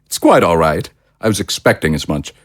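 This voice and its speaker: Man playing a deep-voiced, pompous, suave leader. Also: sing-song, fairly quick. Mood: self-satisfied, persuasive. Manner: pompous, formal, elaborately polite diction. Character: suave, grandiose male political leader, courteous and self-satisfied. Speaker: actor in an audio drama. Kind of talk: theatrical audio drama acting.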